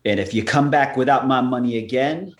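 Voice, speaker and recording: A man's voice: medium pitch, fairly quick, lightly acted. A man playing a menacing, sardonic racetrack businessman in a comic radio play, curt and tough. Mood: dismissive.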